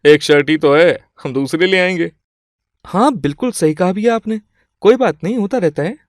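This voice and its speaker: Man playing adult son, higher voice, friendly, easygoing, good-natured, dry storybook sound. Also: moderate pace, dry recording, lightly acted. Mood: reassuring. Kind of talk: Hindi moral-story character acting.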